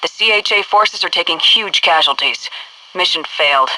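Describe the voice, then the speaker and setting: An actress playing a composed, commanding headquarters officer who gives crisp, businesslike mission orders over military radio in a video game. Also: female voice, lowish voice, moderate pace, sharp tone, no echo, poor audio. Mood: grave, disappointed.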